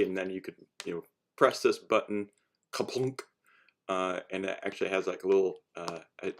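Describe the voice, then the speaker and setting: A man's voice: sits low, gravelly, a bit bright. A man giving a casual how-to talk as a hobbyist showing homemade gear, rambling and hesitant, often breaking off to restart. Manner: rambling, hesitant, self-interrupting.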